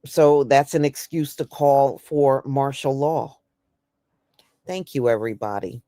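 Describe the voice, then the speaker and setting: A woman's voice: very deep voice, unhurried, warm tone, no room sound, studio quality. A woman with an American accent giving blunt, folksy, casual and opinionated commentary as a livestream host. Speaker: host of an online news commentary stream.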